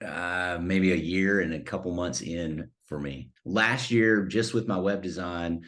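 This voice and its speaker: A man with a very deep voice talks about his work in a casual, eager way. He speaks loosely, with a few stops and starts. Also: measured pace, a bit bright. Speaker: web designer.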